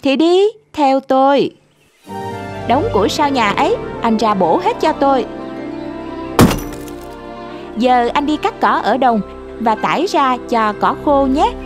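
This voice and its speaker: A woman's voice: sits high, expressive, measured pace, over music. A woman voicing a sly, bossy talking cat in a cartoon fairy tale, with a commanding, teasing manner.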